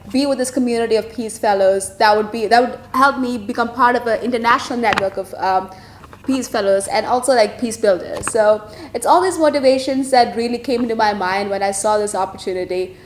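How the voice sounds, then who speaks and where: A woman's voice: mid-range voice, echoey room, a little hiss or hum. A woman from India giving an earnest, fluent interview answer with occasional fillers. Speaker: peace fellow.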